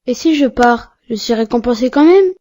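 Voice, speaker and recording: Woman voicing an earnest boy, soft high voice. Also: expressive, normal speed, close to an everyday voice. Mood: contrite. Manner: earnest, courteous. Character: earnest, polite, sensitive pious boy. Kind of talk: didactic cartoon dialogue.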